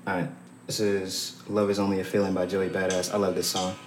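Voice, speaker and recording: Laid-back young rapper, music behind. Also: male voice, sits low, flat delivery, brisk, reverberant. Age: young adult. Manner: laid-back delivery that sits back. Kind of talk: casual chat. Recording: music clearly playing behind.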